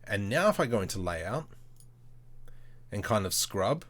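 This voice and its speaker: A man hosting a casual live software tutorial, thinking aloud in short, informal, slangy step-by-step phrases. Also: on the low side, big pitch swings, unhurried. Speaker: tutorial host, livestreamer. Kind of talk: casual live screen-share tutorial commentary.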